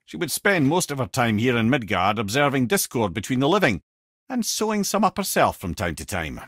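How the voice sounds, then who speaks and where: A Scottish actor voicing a witty, talkative old male sage in a video game, with a husky voice and a wry storyteller's manner. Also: mid-range voice, sing-song, a little fast, fairly bright tone, dry recording, clean studio sound. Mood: explaining.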